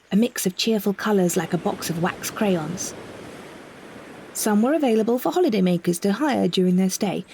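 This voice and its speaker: A British woman reads a scripted first-person story with measured, expressive phrasing and careful delivery. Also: mid-range voice, breathy edge. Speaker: narrator, solo performer of an audio story.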